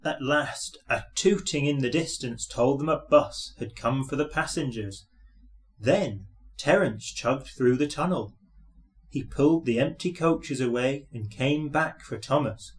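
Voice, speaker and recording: A man's voice: medium pitch, a little slow. A man reading a children's story aloud as scripted narration.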